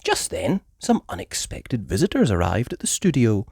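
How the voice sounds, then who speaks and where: A woman reading a children's story aloud in an animated storytelling style. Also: a bass voice, moderate pace, gravelly, slightly rough, no echo, clean studio sound.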